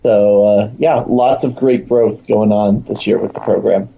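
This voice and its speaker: A man's voice: on the low side, fairly even intonation, measured pace, gravelly. A man speaking as a guest in casual, promotional talk about a program he helps run, over lo-fi call audio. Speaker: show guest, program organizer.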